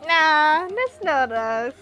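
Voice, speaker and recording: A woman game streamer giving casual live commentary, playful, teasing and quick to react. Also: high-pitched, sing-song, pushed and bright, dull audio. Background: game music.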